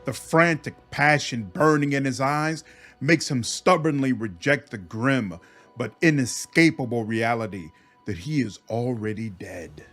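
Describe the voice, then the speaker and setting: A man giving dramatic scripted narration of a fantasy game, deliberate and theatrical. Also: medium pitch, a bit bright, faint music behind. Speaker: gaming video narrator.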